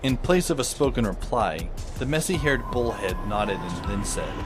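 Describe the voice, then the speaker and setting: A man's voice: lowish voice, animated, normal speed, lightly acted. A man playing a wry, reflective teenage boy who narrates his own story in an audio drama. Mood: musing. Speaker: narrator and lead character. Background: music under the voice.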